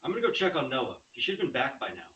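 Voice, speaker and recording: A man's voice: mid-range voice, sing-song, very fast, pushed and bright. A man playing an uneasy, slangy young man with an urgent, nervy delivery in a horror script table read. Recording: echoey, distant, slightly dull microphone.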